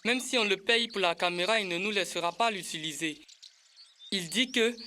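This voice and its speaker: A woman's voice: medium pitch, normal speed, music in the background, close to an everyday voice. A woman voicing a sceptical, resourceful young boy in an educational cartoon. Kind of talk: dubbed educational cartoon acting.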